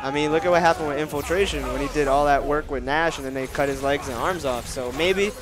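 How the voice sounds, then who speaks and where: A man doing casual stream commentary for a fighting game event, reading viewer questions and answering them. His voice is nasal, and he tries to keep it lower than usual. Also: quick pace.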